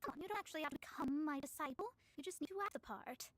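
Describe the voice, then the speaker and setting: A woman playing a proud, theatrical young astrologist in an animated fantasy game, speaking in a haughty, formal, lecturing manner. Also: high voice, very fast, no echo, studio quality. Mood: persuasive, self-assured.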